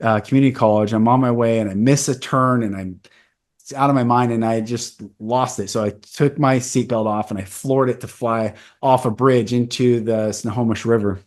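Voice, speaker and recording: A man's voice: medium pitch, steady tone, moderate pace, darkish tone. Earnest man in his forties. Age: 40s. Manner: earnest, candid personal storytelling. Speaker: podcast host. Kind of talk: casual conversation.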